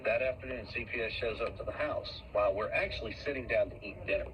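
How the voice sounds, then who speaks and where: A man's voice: fairly low pitch, fast talker. Folksy man over noisy playback. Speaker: interviewee. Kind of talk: casual anecdotal storytelling. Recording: noisy played-back online interview clip.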